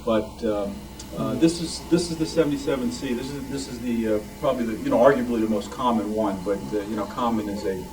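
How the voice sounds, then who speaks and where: A man giving an informal show-and-tell talk as a club member, with a halting, rambling delivery. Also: medium pitch, distant mic. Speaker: club member presenting vintage items. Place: club meeting room. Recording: noisy room, picked up from afar.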